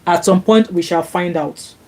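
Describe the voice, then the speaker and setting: A woman's voice: lowish voice, moderate pace, darkish tone. A Nigerian woman whose first language is Yoruba, chatting casually on a live stream as she reads viewer comments, with many fillers and restarts. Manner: chatty, frequent fillers and restarts, rises when indignant. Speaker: vlogger reading viewer comments. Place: home, sunny Glasgow. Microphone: laptop.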